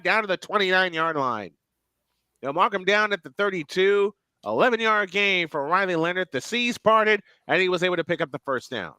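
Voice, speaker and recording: A man doing live play-by-play commentary on a football game, surging in pitch and volume on big plays and often repeating phrases. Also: sharp tone, clear, resonant tone, no echo. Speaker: play-by-play announcer.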